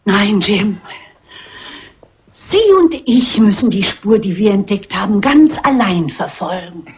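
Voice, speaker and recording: A woman's voice: mid-range voice, big pitch swings, takes it slowly, slightly rough, exaggerated voice. An actress playing a shrewd, self-assured elderly lady detective in a dubbed classic crime film, speaking precisely. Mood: matter-of-fact. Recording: dull vintage film soundtrack.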